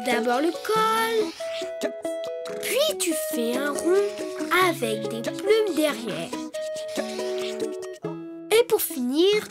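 A cheerful young rabbit child from a preschool cartoon. The voice is very high and lively, taking its time as it patiently explains, with children's music playing behind it. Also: exaggerated voice. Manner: clear, patient, instructive delivery to children. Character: cheerful young rabbit child who loves drawing, helpful.

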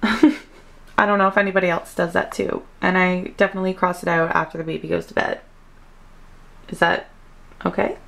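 A woman's voice: lowish voice, level tone, moderate pace, creaky, pauses often, a bit bright. A young woman, a new mom, chatting casually to camera at home with lots of fillers. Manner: chatty and self-deprecating, many fillers. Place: home, indoors. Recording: voice a bit far from the mic.

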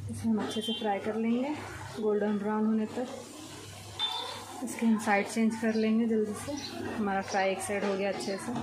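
A woman hosting a cooking channel, calmly explaining a recipe step by step from her home kitchen. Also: mid-range voice, moderate pace, long pauses, noisy background.

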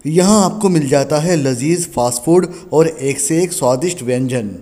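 A man's voice: fairly high pitch, normal speed, no room sound. A man in his thirties vlogging enthusiastically to his viewers, filming on a phone at arm's length outdoors at a roadside restaurant at night. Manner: enthusiastic, addresses viewers as friends. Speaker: travel vlogger. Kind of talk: casual travel vlog. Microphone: phone held at arm's length. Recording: outdoor phone audio with loud music and crowd. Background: music and crowd chatter.

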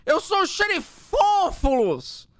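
A man hosting a casual gaming session with friends, teasing them and bursting into shouts. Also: extremely high pitch, sing-song, slow pace, dry recording. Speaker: channel host.

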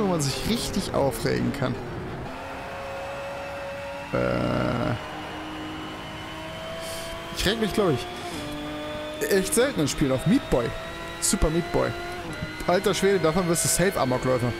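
A man's voice: fairly high pitch, creaky. A young man rambling casually while commentating a racing game, swearing and exclaiming at the track. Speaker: let's play host. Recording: game music playing behind the voice.

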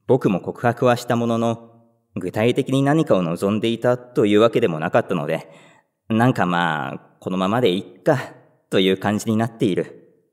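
A man's voice: lowish voice, normal speed, darkish tone, close to an everyday voice. An actor playing a timid, over-considerate young male office worker in a voice drama, hesitant and prone to stammering. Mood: wry, resigned. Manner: hesitant, stammering when flustered. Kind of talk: Japanese voice drama, inner narration and dialogue.

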